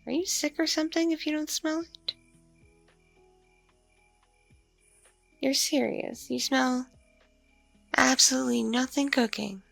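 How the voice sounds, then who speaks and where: A woman playing a seductive, hungry mature vampire mother in an intimate ASMR roleplay, teasing and condescending, breathy and slipping into whispers. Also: high-pitched, fairly even intonation, fairly bright tone, no echo, soft background music, heavily acted. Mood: incredulous, teasing. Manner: slow, teasing, hesitant hums and pauses.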